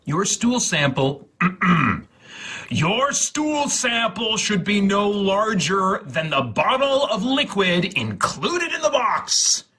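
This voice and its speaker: A man reading an instruction guide aloud in a mock-serious, theatrical, deliberate way, with a husky voice. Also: high voice, very animated, bright, forceful tone. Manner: theatrical, deliberate, repeats words for emphasis.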